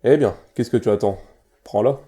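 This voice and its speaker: A man playing a weary, cold, nonchalant male monster in a fan comic dub, with a deadpan, casually menacing delivery. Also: fairly low pitch, normal speed, close to an everyday voice. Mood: casual, impatient.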